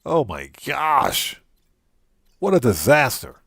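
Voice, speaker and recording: A man's voice: mid-range voice, big pitch swings, slow pace, creaky, a bit bright, breathy edge, no room sound, studio quality. A man hosting a casual gameplay livestream, commenting in exclamations and emphatically repeated short phrases. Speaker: livestream host.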